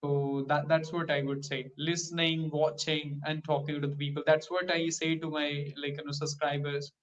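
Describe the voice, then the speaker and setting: Hindi native guy practicing english, lots of "you know", flat monotone, dull call sound. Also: fairly high pitch, moderate pace. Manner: hesitant, fillers like "you know". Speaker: learner in a tutoring session. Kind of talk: conversational practice.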